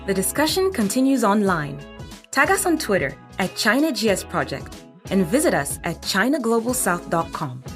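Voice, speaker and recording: A woman's voice: mid-range voice, very animated, normal speed. A woman reading a scripted show outro announcement over music.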